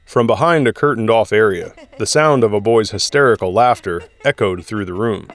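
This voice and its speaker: Low-voiced man narrating dramatically. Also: a little fast. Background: music score.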